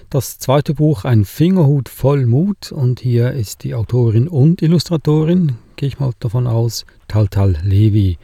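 A man's voice: mid-range voice, warm tone, dry recording. A man hosting a radio book show from the studio, plainly listing titles and linking to an interview. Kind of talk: studio presenting.

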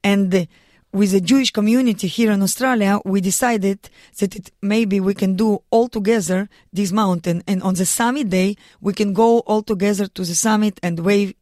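A woman's voice: mid-range voice, darkish tone, no room sound. Earnest Russian-accented woman, dry studio. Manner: earnest, halting non-native English with restarts. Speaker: guest, mountaineer and lawyer. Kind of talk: radio studio interview. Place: radio studio.

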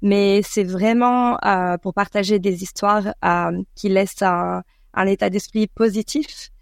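A woman from France with a French accent, answering interview questions conversationally and thoughtfully, often pausing mid-sentence. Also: medium pitch, fairly even intonation, vocal fry, no echo, clean studio sound. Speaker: podcast creator being interviewed.

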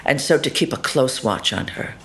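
A woman actor being interviewed about her role, talking animatedly, jumping between loud asides and soft murmurs, often ending with tag questions. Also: extremely low pitch, expressive, moderate pace, a little hiss or hum. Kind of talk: conversational interview.

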